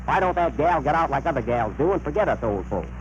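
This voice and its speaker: A man's voice: a little high, expressive, quick pace, a little hiss or hum, lightly acted. Old muffled radio comedy, guy as grumbly hick dad, dry and folksy, bouncy intonation. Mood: grumbling. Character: grumbling old rural father of grown daughter. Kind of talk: 1930s radio comedy acting.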